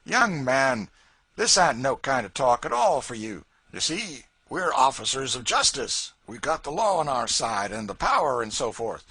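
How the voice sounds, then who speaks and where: A man's voice: medium pitch, big pitch swings, moderate pace, sharp tone, slightly rough, no room sound, voice pushed up, full character voice. A man reading aloud, voicing a short, puffy, pompous constable who wheedles.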